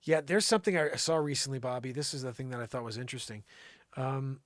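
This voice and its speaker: A male show host with a mid-range voice, recorded dry. He rambles casually and comically to his viewers, animated and slangy, often thinking out loud. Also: medium pitch, quick pace, no echo. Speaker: live variety show host.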